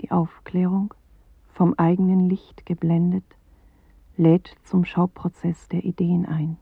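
A performer reciting as a grave, solemn narrator in a literary radio play, with a breathy, husky voice and measured delivery. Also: medium pitch, level tone, no echo. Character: grave poetic narrator reciting bleak apocalyptic images. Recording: narrow phone-like 1980s radio recording.

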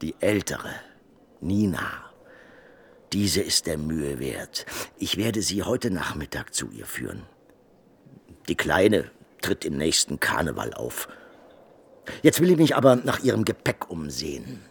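An actor playing an obliging, talkative scene painter in a German radio drama, courteous and confiding, with a husky voice. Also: male voice, extremely low pitch, very animated, measured pace, full character voice. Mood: knowing. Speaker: supporting actor.

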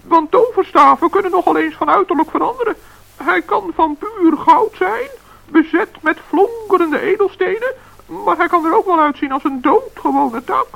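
A squeaky gravelly little gnome. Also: male voice, lively intonation, normal speed, a bit bright, heavily acted. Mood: earnest. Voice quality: very high, gravelly. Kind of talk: radio play acting. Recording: dull lo-fi radio play recording.

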